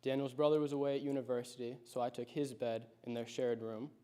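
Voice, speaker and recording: A teenage boy, a high school senior, reads out a prepared personal speech. He tells his story in a reflective, measured way, keeping his pitch fairly level. His voice sits on the mellow, darker side. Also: flat delivery, clear, resonant tone. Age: late teens.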